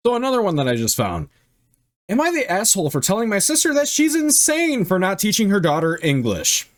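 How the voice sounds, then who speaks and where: A young man reading online stories aloud and reacting bluntly, with frequent swearing. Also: fairly low pitch. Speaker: reaction video host.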